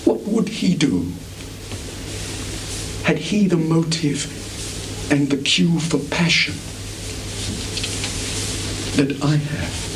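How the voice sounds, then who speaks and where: An anguished, self-loathing prince hungry for revenge in live Shakespearean theatre. The voice is fairly high for a male and ranges dramatically, with slow, declamatory phrasing. It comes from a 1980s stage recording with clear background noise. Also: sing-song, full character voice. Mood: impassioned, incredulous. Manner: declamatory, drawn-out, swinging from hushed to shouting. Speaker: stage actor.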